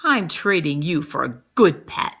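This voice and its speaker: Woman playing a young storybook child, exasperated yet tender, emphatic, swooping pitch, bright tone. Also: sing-song, unhurried, slightly rough, full character voice. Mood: firm, exasperated. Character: young child narrator, exasperated but soft-hearted pet owner.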